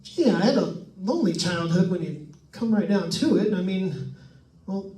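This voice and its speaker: A high-voiced actor playing a nervous man stuck in a haunted house, rambling and joking to listeners as in an old radio thriller. The sound is echoey and set well back from the mic. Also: expressive, normal speed, warm tone. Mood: unhinged rambling. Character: jittery wisecracking man alone in a haunted house. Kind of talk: old-time radio suspense drama acting. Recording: echoey room, distant mic.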